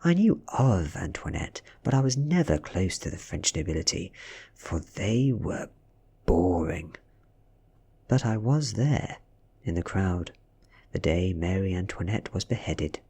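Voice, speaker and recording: A man with a British accent softly reads a story in ASMR style, breathy and often slipping into a whisper, with measured, dramatic delivery. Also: deep voice, very animated. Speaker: ASMR reader. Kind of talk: soft-spoken ASMR audiobook reading.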